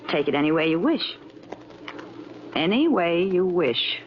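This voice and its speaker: A woman's voice: on the low side, very animated, measured pace, over music. An American actress playing a catty, scornful woman in old radio drama, sardonic and condescending with mock-sweet endearments. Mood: cold, menacing. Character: catty, taunting woman, scornful romantic rival. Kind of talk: 1940s-50s radio drama acting. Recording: dull, noisy old radio recording.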